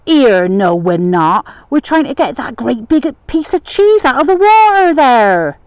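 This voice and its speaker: A woman voicing a quick-witted male smuggler from the countryside with a West Country accent, playing dumb. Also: high-pitched, sing-song, no echo, voice pushed up. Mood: bluffing.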